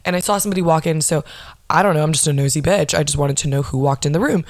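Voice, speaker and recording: A young woman with a lowish voice hosts a podcast, venting in a casual, foul-mouthed, sarcastic rant. She slips into mocking impressions of the people who annoy her. The recording is dry and close, with no room sound. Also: rapid-fire, dry recording. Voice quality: low voice, not nasal. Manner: sarcastic, swears a lot, acts out mocking quotes. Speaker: podcast host. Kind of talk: casual ranting monologue.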